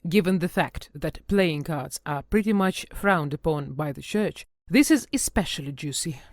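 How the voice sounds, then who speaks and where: A woman's voice: low-pitched, expressive, normal speed, no room sound, studio quality. A woman podcast host reading scripted commentary with a wry touch.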